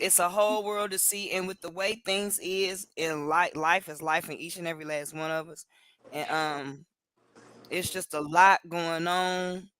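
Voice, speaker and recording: A woman from Cleveland co-hosting a casual livestream chat from home over a video call, animated and laughing often. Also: on the low side, no room sound. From Cleveland, Ohio. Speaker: co-host.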